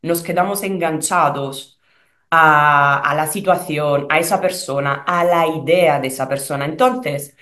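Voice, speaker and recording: A woman in her thirties from Italy, with an Italian accent, answering interview questions as a relationship coach over a video call in a room at home, expressive and pausing with fillers. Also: deep voice, roomy sound. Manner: expressive, searching for words with fillers. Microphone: video call webcam.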